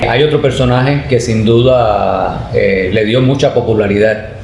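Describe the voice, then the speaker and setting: A man's voice: mid-range voice, a little slow, gravelly, darkish tone. A man asking polite, formal questions as an interviewer. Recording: roomy, echoey, faint noise.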